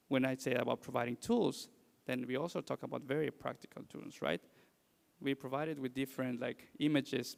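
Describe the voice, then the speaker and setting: A man's voice: mid-range voice, gravelly. A man from Mexico, a native Spanish speaker with a Mexican accent, presents to an audience as a conference speaker in a hesitant, conversational way, posing rhetorical questions. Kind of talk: public presentation.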